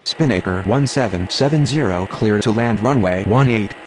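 An actor playing a businesslike air traffic radio speaker in a game, formal and procedural, in clipped aviation phraseology. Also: male voice, fairly low pitch, normal speed, darkish tone, natural voice. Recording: faint vehicle and ambient noise.

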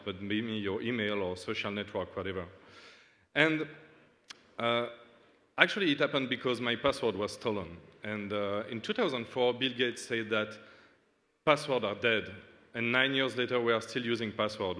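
A man's voice: mid-range voice, sharp tone. A man from Switzerland giving a hesitant, earnest startup demo on stage into a lectern mic. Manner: halting, earnest, many fillers. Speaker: startup founder demoing. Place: large dark hall on stage. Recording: long hall reverb.

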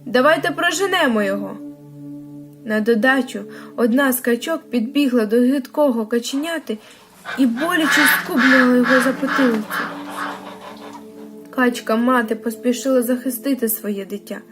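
Higher-pitched woman narrating a children's story. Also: moderate pace. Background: music.

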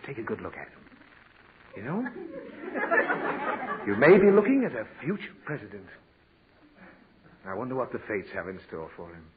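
An actor playing a sentimental, easily flustered father who lectures his daughter in a 1940s radio comedy. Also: fairly low pitch. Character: patient, fussy father of a naughty girl. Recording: tinny old radio broadcast with faint hiss.

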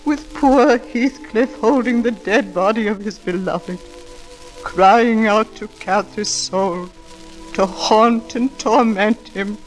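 An actress plays a solemn older serving woman in a vintage radio drama. She speaks slowly and dramatically at a medium pitch. Light music runs under the old recording. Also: natural voice, poor audio. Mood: solemn, haunted. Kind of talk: 1940s radio drama acting.

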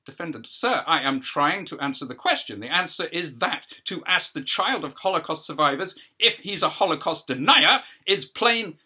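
Older man acting defiant male defendant, stage play, earnest, precise, protesting, animated pitch. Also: moderate pace, few pauses, slightly rough, exaggerated voice. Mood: indignant. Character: defiant, wounded male defendant, an academic, at hearing.

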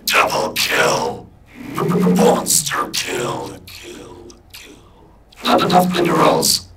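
A man voicing a cold, menacing robot computer through a buzzy metallic vocoder, in deliberate announcements. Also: roomy sound, far from the mic, heavy background noise. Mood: cold, mechanical. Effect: vocoder robot filter.

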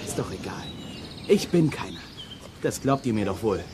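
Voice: mid-range voice, big pitch swings, moderate pace, over music, lightly acted. An actor playing a cheeky, stubborn boy detective in a youth audio drama, talking in a colloquial, sarcastic way. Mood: defensive.

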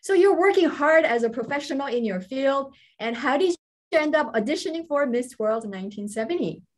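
A young woman interviewing a guest on a video call, asking questions politely and affirming softly as she listens. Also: high-pitched, expressive, a little fast. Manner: polite, with soft backchannel affirmations.

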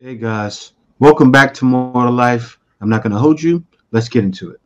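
A man's voice: mid-range voice, normal speed, highs cut off, music in the background. A man in his forties hosting a reaction show, talking bluntly and animatedly into a close studio mic in a small room. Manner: animated, blunt, talks back at clips. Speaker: host reacting to clips. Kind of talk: casual reaction commentary. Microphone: studio mic close to mouth.

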